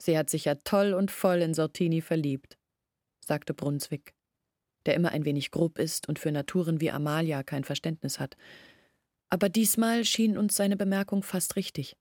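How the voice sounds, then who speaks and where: Low-voiced village woman recalling her past actress. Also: normal speed, a little mellow, no room sound, studio quality, lightly acted. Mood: reflective. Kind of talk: radio play monologue, storytelling. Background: music.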